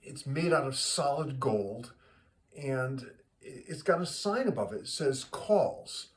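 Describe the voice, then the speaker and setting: A man hosting a casual joke segment, speaking to listeners in a rambling, halting way and often repeating words as he goes. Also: medium pitch, expressive. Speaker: joke teller, host of a regular joke segment.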